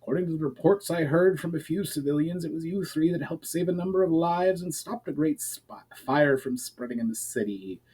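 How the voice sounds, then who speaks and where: Man playing a stern, commanding knight commander, raised pitch. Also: a little fast, hardly any pauses, darkish tone, husky, low-quality recording. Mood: reassuring. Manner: barking, formal, authoritative. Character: stern, commanding male knight commander, protective of his city.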